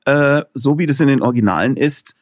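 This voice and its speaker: A man's voice: mid-range voice, brisk, no room sound. Joking middle-aged man in dry sound. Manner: lively, joking, rambling with many fillers. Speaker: author and filmmaker, interview guest. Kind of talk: casual interview conversation.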